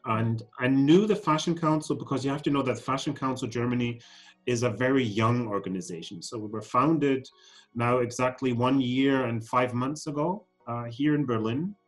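A man's voice: mid-range voice, moderate pace, soft background music. Hesitant Scottish-born man in his forties. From Scotland. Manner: hesitant, many ums and restarts. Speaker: guest, fashion council CEO. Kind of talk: podcast interview.

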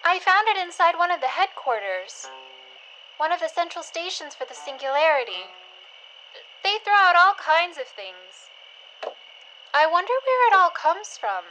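Woman playing a high, bright young female scavenger. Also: lively intonation, measured pace, full character voice. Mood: curious, matter-of-fact. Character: wary, curious young female scavenger who collects specimens. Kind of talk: audio drama acting. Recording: noisy, night insects and frogs behind. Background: crickets, frogs, outdoor ambience.

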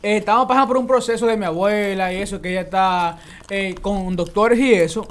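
Young Dominican male host, very high pitch, jokey, casual talk. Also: brisk. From Dominican Republic. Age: young adult. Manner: joking, laughs often, then earnest. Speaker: podcast host. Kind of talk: casual group chat.

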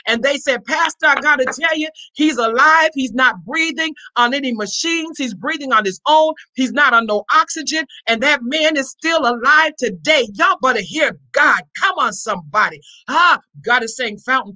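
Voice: medium pitch, sharp tone. A pastor giving a fervent charismatic church sermon, exhorting emphatically with repeated refrains and interjections, often raising the voice.

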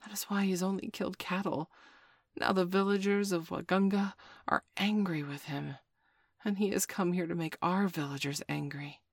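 A woman voicing a calm, wry mother wolf who speaks quietly, often half whispering. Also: fairly low pitch, moderate pace, dry recording. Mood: grim.

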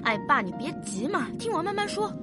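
A woman's voice: high-pitched, sing-song, brisk, music in the background. A woman playing a curious, stubborn young daughter in a science-fiction radio drama, earnestly reasoning like a little scholar. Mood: eager arguing.

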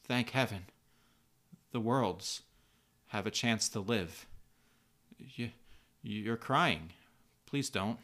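A man's voice: on the low side, very slow, long pauses, fairly bright tone. A man voicing a brave young superheroine in a comic-book story, in an impassioned, theatrical way. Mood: weak, tender.

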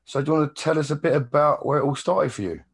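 A man hosting a podcast in a relaxed, chatty way, his voice hoarse and croaky. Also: fairly high pitch, fast talker. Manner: relaxed, chatty, loose phrasing. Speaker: podcast host. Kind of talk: casual chat.

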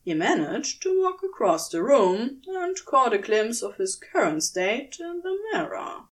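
A woman reading scripted fan fiction as narrator, in a measured, expressive storytelling manner. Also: on the high side.

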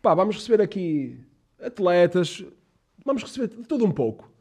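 A man's voice: high voice, very animated, moderate pace, dark tone. A man with a European Portuguese accent, a comedian hosting a podcast, who teases his guest in casual chat, laughs often and fills his speech with lots of fillers.

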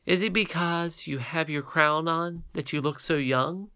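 An earnest, affectionate young princess girl from a storybook, pleading in a voice lifted well above the reader's own, as a man reads her. Her questions come out eager and soft. The recording sounds dull and lo-fi. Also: on the high side, unhurried, smooth, dry recording, voice pushed up.

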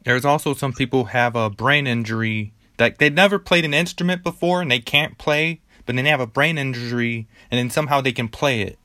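Male guest, casual, hesitant, bright mid voice, dry recording. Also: medium pitch, brisk, few pauses, no echo. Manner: hesitant, searching for words. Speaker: podcast guest. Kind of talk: casual chat.

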